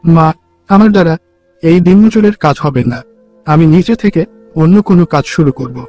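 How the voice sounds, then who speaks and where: A man's voice: on the high side, animated, normal speed, darkish tone, faint music behind, lightly acted. A man playing an earnest, respectful, poor young day labourer in a cartoon story. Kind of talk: cartoon dialogue.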